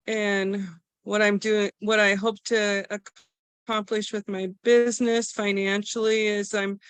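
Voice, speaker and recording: A woman's voice: medium pitch, a bit bright, smooth, no room sound. A woman introducing herself on a video call in a hesitant way, with many fillers. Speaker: workshop participant.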